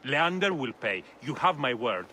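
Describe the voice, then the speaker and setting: An actor voicing a weary, principled city official in a video game cutscene, earnest and measured. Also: male voice, medium pitch, very animated, bright, forceful tone, slight background noise. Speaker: magistrate's rival, local leader.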